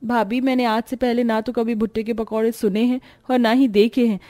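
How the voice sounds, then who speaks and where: A woman's voice: a little high, level tone, a little fast, mellow, soft background music, lightly acted. A woman voicing a hopeful, persuasive young sister-in-law in a cartoon story, earnest and upbeat. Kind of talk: cartoon story character dialogue.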